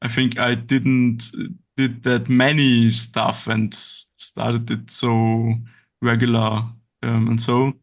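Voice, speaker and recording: A man with an Austrian German accent, a guest speaking halting non-native English and often searching for words. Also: medium pitch. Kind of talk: casual interview chat.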